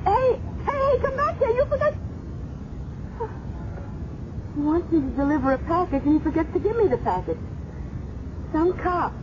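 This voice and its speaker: An actress playing a breezy, forward, wisecracking office worker who flirts and chats, in a 1970s radio drama. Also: female voice, very high voice, sing-song, a little fast. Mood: exasperated, amused. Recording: muffled noisy 1970s radio recording.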